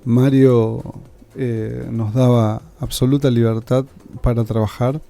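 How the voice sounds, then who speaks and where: A man's voice: mid-range voice, flat delivery, slow, gravelly, mellow. A man with an Argentine accent reminiscing in an interview about his radio work. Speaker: radio scriptwriter.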